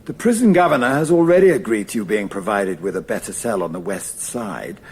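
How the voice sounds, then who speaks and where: An actor playing a scheming, pompous and unrepentant gentleman in a period radio drama. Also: fairly low pitch, expressive, brisk, husky. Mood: smug, dismissive.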